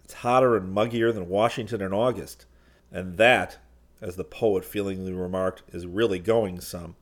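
A man's voice: low-pitched, steady tone, normal speed. A man voicing a confident, plain-spoken adventurer in an audiobook, with a wry, folksy manner. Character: confident, breezy adventurer man who explains things.

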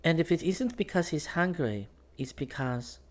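Man voicing an anxious young woman, pitched high. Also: moderate pace. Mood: nervous. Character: anxious, affectionate young woman pleading with her lover. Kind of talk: audiobook character dialogue.

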